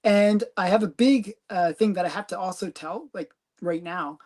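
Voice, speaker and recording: A woman's voice: fairly low pitch, moderate pace, dark tone. A woman hosting a casual comic collecting livestream, chatty and rambling, giggling often. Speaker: host of a comic collecting channel.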